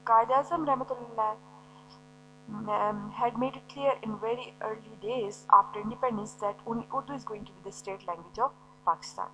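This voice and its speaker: A woman's voice: on the high side, normal speed, pushed and bright, slight background noise, poor audio. A woman teacher giving a lecture, explaining step by step and asking and answering her own questions. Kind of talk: recorded classroom lecture.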